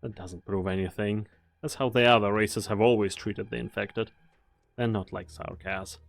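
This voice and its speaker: A weary, commanding mercenary played by a man speaks in a low, gravelly voice. He is guarded and brooding, with grave, measured phrasing. The game fan-dub performance rings with a long reverb. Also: steady tone. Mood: stern.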